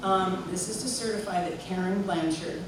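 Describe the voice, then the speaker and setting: A woman giving a warm, chatty tribute at a memorial gathering, telling stories and leading the room in song. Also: fairly low pitch, moderate pace. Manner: warm, chatty, leads group singing. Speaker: memorial speaker and singer. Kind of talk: heartfelt spoken tribute. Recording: echoey hall from far off, noisy room.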